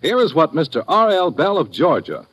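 Animated, husky American radio pitchman. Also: moderate pace. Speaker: commercial announcer. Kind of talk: 1950s radio ad read.

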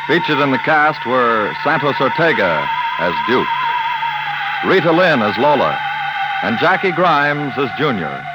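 A man's voice: mid-range voice, sing-song, measured pace, loud music behind the voice, rough recording. A man reading scripted credits as a formal, emphatic 1950s radio announcer. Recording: hissy old radio recording.

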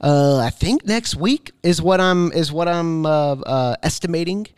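A man with a fairly high, smooth voice co-hosts a podcast. He chats casually and informally, recorded close and dry. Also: unhurried, dry recording. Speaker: podcast co-host. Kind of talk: casual chat.

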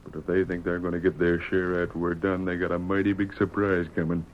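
An actor playing a scheming, gloating Old West outlaw boss with a crafty, folksy American drawl in an old radio western. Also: male voice, low voice, monotone, fast, lightly acted. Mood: sly, scheming. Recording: muffled, noisy old radio recording.

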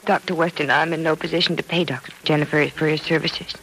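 Despondent radio-serial patient, noisy recording. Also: female voice, low voice, fairly even intonation, quick pace, fairly bright tone. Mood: bitter, resistant. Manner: bitter, resigned, hesitant. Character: despondent, stubborn young woman patient losing her sight. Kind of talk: old-time radio serial drama acting.

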